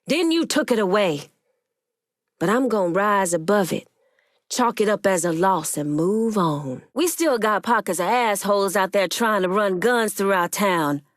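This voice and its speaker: An actress voicing a proud, shrewd, militant woman crime boss in a video game, speaking in a blunt, deliberate, streetwise way with plenty of swearing. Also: medium pitch, animated, no echo, clean studio sound, natural voice. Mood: angry, demanding. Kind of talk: dramatic video game character acting.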